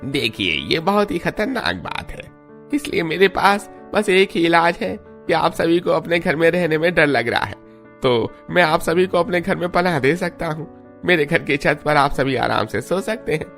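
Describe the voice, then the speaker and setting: A man's voice: a little high, animated, moderate pace, a bit bright, soft background music. A man playing a generous, hospitable wealthy village merchant in a cartoon story, speaking formally and courteously. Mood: hospitable, concerned. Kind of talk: Hindi cartoon story acting.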